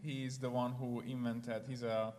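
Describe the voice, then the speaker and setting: A man's voice: mid-range voice, little pitch movement, measured pace, a little mellow. Hungarian-accented man in echoey hall. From Ukraine. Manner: hesitant, many fillers and restarts. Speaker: guest speaker. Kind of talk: church testimony talk. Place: church hall.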